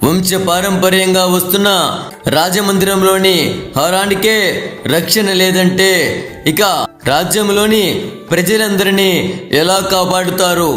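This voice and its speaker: A man's voice: high voice, level tone, measured pace, long pauses, fairly bright tone. A man voicing a proud, anxious king in a moral story cartoon, formal and commanding, in short phrases. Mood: worried, secretive. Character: proud, anxious, threatening king of a small realm. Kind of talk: animated moral story character dialogue. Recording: echoey, background music.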